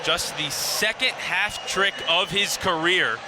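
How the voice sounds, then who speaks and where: Over clear background noise from an outdoor venue, a man in his twenties calls a game live for radio. His voice sits high for a man. He delivers the action in short, descriptive phrases, lifting with excitement when a play is big. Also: slow pace. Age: 20s. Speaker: play-by-play commentator. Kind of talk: live radio sports commentary. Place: outdoor lacrosse stadium. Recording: noisy outdoor broadcast with stadium ambience.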